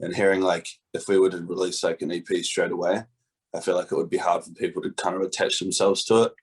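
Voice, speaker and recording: Low husky joking young guitarist. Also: male voice, flat delivery, fast. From New Zealand. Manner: joking, casual, rambling. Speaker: guitarist in a band. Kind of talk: casual interview chat.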